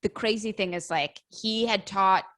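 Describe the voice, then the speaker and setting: A woman's voice: medium pitch, normal speed, fairly bright tone, no room sound, clean studio sound. An American woman as a podcast guest, telling casual anecdotes with joking exaggeration. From Illinois, United States.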